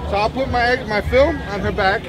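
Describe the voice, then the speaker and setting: High-voiced man in his thirties at a busy outdoor event. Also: moderate pace, poor audio. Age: 30s. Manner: chatty and explanatory, with frequent restarts. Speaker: bomb squad officer. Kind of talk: casual demonstration to a crowd. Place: outdoor community street event. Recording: outdoor event with loud crowd and music.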